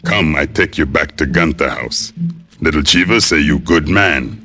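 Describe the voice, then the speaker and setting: A huge, slow-witted strongman of old radio drama. His voice is extremely low yet forceful, and he speaks in blunt, broken English with simple loyalty. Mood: servile, blunt. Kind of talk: 1950s radio detective drama acting. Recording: old radio broadcast recording.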